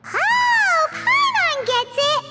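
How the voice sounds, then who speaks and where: A woman voicing a small, childlike fairy companion in a video game, with a squeaky voice, blunt and excitable. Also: a little slow, full character voice. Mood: excited, indignant. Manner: refers to itself in third person, exclamatory. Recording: loud music behind the voice. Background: music.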